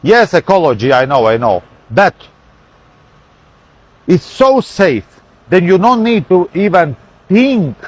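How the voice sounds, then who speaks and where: Guy with a husky lively voice, chatting from a car. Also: high voice, slow, long pauses, dry recording. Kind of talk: casual vlog narration.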